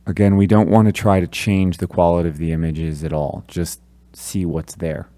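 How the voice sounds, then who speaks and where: Deep, mellow-voiced man guiding meditation. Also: no room sound. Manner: calm, gently instructive, with long pauses between phrases. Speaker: meditation guide. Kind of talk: guided meditation.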